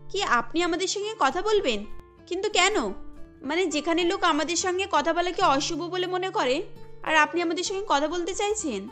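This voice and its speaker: A woman's voice: very high-pitched, a little fast, fairly bright tone. A woman voicing a sad, hesitant young hijra in a cartoon story, plaintive and questioning, over music. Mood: surprised. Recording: music behind the voice.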